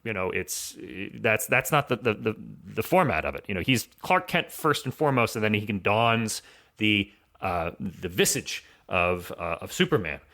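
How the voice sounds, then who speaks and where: A man's voice: fairly low pitch, expressive, normal speed, sharp tone. A man speaking as a podcast guest, giving conversational analysis in an explanatory, self-rephrasing way. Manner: explanatory, often rephrasing with "you know".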